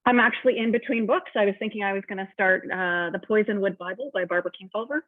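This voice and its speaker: A woman's voice: mid-range voice, quick pace, no echo. A woman answers enthusiastically, laughing and circling back over her words. Her voice comes through a tinny, phone-like line with no room sound at all. She speaks in a casual panel chat. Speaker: panel guest.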